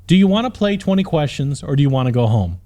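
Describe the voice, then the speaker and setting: Man playing a curt, weary retired knight, lively pitch. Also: quick pace. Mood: snapping. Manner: curt, impatient, grudging.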